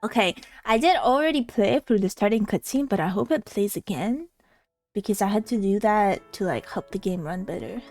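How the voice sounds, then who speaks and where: A woman's voice: medium pitch, expressive, normal speed, darkish tone, no room sound. A woman livestreamer chatting casually in a rambling, self-deprecating way, with music in the background. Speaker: live streamer.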